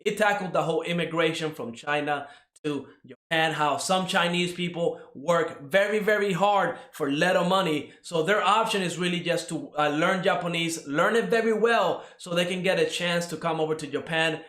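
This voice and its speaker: A man's voice: fairly high pitch, normal speed, fairly bright tone, echoey room. A young man from Cuba giving a casual spoken anime review, rambling with many fillers and tag questions.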